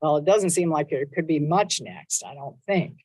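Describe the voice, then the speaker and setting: A woman delivering a prepared civic speech at a council meeting, measured and upbeat, with a loud voice, speaking into a microphone in a council chamber. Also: sits low, expressive, breathy edge, no room sound. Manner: measured, upbeat, stresses lists of items. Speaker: mayor giving a state of the city address.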